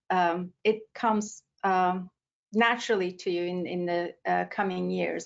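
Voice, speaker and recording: A woman's voice: mid-range voice, gravelly, lo-fi sound. A woman interviewing a colleague, admiring and hesitant with many fillers. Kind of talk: conversational interview.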